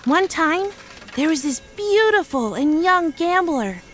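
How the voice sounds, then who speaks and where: A woman's voice: very high-pitched, sing-song, takes it slowly, a little hiss or hum, exaggerated voice. A woman voicing a small, mischievous, indignant dwarf trickster creature in an audio drama, protesting in a whiny, theatrical way. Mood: proud, defensive.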